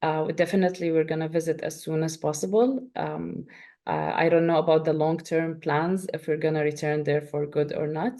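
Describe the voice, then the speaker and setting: A woman from Syria in her thirties answers interview questions in a slightly low voice with a gravelly edge. She talks reflectively and often pauses on fillers and restarts. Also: steady tone, warm tone. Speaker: interviewee, writer.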